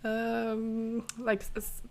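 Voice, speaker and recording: A woman from Finland, co-hosting a podcast in casual talk, hesitant with many fillers and soft laughs. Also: a little high, completely flat delivery, drawn-out words, gravelly. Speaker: podcast co-host, freelance pattern maker. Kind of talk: casual chat.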